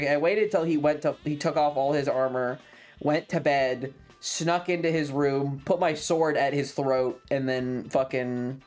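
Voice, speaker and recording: A streamer telling a story to chat in a casual, sweary, sardonic way, acting out the dialogue as they go. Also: mid-range voice. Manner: sweary, sardonic, animated retelling with acted-out dialogue. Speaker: streamer hosting a variety game stream. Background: video game music.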